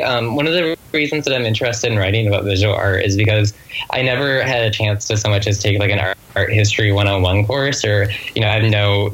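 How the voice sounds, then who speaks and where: An author speaking as an interview guest, thoughtful and halting, often reworking phrases in a reflective conversational way. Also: mid-range voice, a bit bright, low-quality recording.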